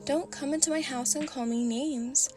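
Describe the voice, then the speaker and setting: A flustered, snappy young woman fires back defensive retorts in a fairly high voice, as a woman reads her in a fan story. Music plays behind her. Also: normal speed, natural voice.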